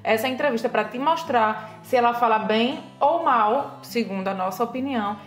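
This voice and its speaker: A Brazilian woman with a Brazilian Portuguese accent, co-hosting a casual language lesson in a lively, explanatory way. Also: a little high, normal speed, soft background music. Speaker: French teacher, co-host.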